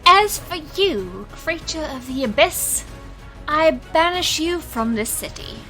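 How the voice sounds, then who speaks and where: Audio drama illusionist, played by a woman: weary yet resolute, wry, slow delivery, fairly high voice. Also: animated, pushed and bright, faint music behind, heavily acted, low-quality recording. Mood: stern, commanding. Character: weary, resolute female illusionist and supernatural enforcer. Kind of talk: dramatic audio fiction acting.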